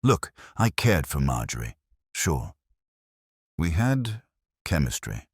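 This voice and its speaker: A man's voice: extremely low pitch, very animated, takes it slowly, husky, no echo, clean studio sound, heavily acted. A man voicing a vain, boastful and defensive male actor in an audiobook detective story.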